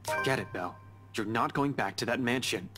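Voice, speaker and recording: A man's voice: medium pitch, a little fast, over music, natural voice. A man playing a stern, protective young man in a visual novel, firm and insistent. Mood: stern, exasperated. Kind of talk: dramatic visual novel dialogue reading.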